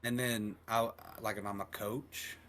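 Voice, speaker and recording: A man in his twenties, a teacher and coach, chatting casually on a podcast and working through numbers out loud. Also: fairly low pitch, measured pace, slight background noise. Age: 20s. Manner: relaxed, rambling, works through numbers aloud.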